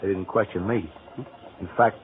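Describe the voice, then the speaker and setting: On a tinny, slightly noisy old radio recording, a man plays an earnest American store employee. His voice sits a little low, and he speaks plainly and sincerely. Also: fairly even intonation, moderate pace, close to an everyday voice. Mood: easygoing. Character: earnest, anxious young male store employee. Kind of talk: 1940s radio acting.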